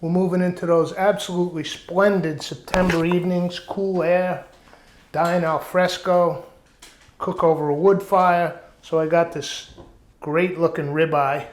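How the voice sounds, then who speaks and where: A man's voice: a little high, level tone, unhurried, echoey room, a little hiss or hum. Chatty, rambling man with a raised voice. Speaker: cooking show host. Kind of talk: casual vlog chat. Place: outdoor backyard kitchen.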